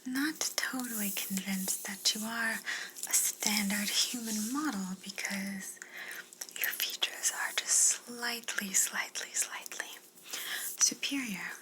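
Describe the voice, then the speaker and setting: A woman playing a curious, politely condescending alien examiner in a sci-fi ASMR roleplay, whispering softly and fussily, repeating words soothingly. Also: medium pitch, natural voice, poor audio. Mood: clinical, intrigued. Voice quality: soft, breathy whisper. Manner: slow, gently fussy, clinical, repeats words soothingly.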